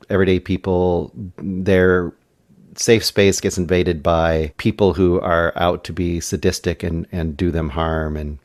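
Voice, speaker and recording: A man reviews a film in a casual panel chat. His voice is deep and low, captured very dry. He qualifies his points as he thinks them through. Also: no room sound.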